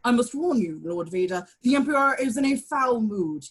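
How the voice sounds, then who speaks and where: A woman's voice: a little high, lively intonation, normal speed, slightly rough. A woman playing a stern, haughty imperial court official in an amateur script reading, speaking formally and cautioningly. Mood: formal, warning. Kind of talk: amateur script table read.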